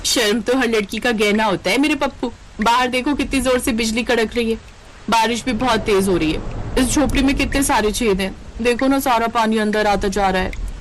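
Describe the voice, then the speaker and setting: A woman's voice: fairly high pitch, normal speed, slight background noise, natural voice, rough recording. A woman voicing an eager, coy young slum girl in a cartoon story. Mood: coy, urging.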